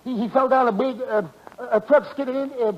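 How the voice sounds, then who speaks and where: An actor playing a flustered, slow-witted barber who stammers and tangles his sentences, in 1940s radio comedy style. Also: very high-pitched, fairly even intonation. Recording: muffled vintage 1940s radio recording.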